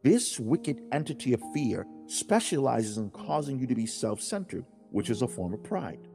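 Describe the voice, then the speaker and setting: A man's voice: mid-range voice, big pitch swings, moderate pace, vocal fry. A man playing God as a stern fatherly teacher, solemn and declamatory, in a dramatized religious audiobook monologue. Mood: firm, instructive. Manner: solemn, declamatory, lecturing with rhetorical questions. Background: music.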